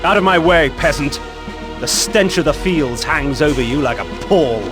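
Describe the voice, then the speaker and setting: An actor playing a proud, disdainful undead nobleman who narrates a video game in bitter, eloquent, measured phrases. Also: on the high side, lively intonation, over music, lightly acted. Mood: contemptuous anger. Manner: haughty, measured, grandiloquent.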